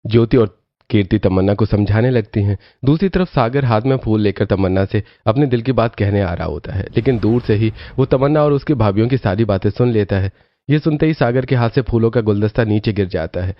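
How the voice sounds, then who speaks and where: A man's voice: fairly low pitch, brisk, dull audio. A man narrating a scripted story as its storyteller.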